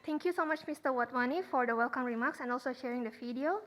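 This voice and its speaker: A woman's voice: high voice, fast, fairly bright tone. A woman acting as master of ceremonies, making polite, formal stage announcements.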